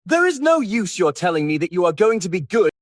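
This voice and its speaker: A man voicing a witty, cynical upper-class gentleman with a languid, flippant manner for an audiobook. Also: high-pitched, sing-song, a little fast, no room sound, clean studio sound, pitched above the performer's own voice. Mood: amused, cynical. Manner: languid, flippant, epigram-making. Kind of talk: audiobook character voice.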